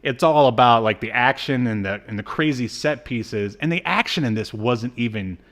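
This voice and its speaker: A man co-hosting a podcast in casual review chat, with a halting, rambling delivery. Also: medium pitch, fairly bright tone. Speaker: podcast co-host.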